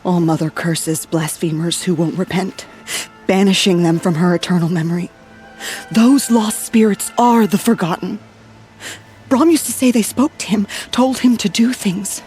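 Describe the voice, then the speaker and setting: Woman acting an anxious, faltering tribeswoman over soft music. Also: lowish voice, moderate pace, close to an everyday voice. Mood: sorrowful. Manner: hesitant, earnest, faltering. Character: anxious, grieving sister, timid tribeswoman, pleading. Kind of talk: video game voice acting. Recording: music under the voice.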